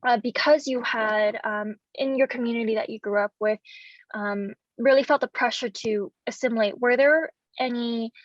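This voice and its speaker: A woman's voice: a little high, steady tone, moderate pace, no room sound. A young woman born in the United States, interviewing a guest over a video call, polite and hesitant with frequent ums. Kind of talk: interview questions. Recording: dull, lo-fi video-call audio.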